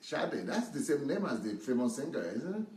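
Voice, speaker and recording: A man's voice: mid-range voice, very animated, brisk, a little mellow, a little hiss or hum. A man, a pastor, teasing his congregation in a playful church talk before his sermon. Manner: playful, jokes and laughs often.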